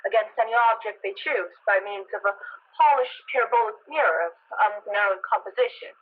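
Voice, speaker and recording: A woman acting a grave, scholarly professor in a radio drama, speaking in measured, halting, formal phrases. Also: mid-range voice, close to an everyday voice. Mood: grave, speculative. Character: grave, scholarly astronomy professor giving conjecture. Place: living room at home. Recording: thin phone-like radio line.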